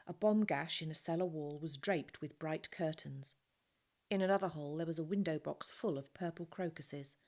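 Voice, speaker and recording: Woman reader, low and gravelly, steady expressive storytelling. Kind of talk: reading a novel aloud.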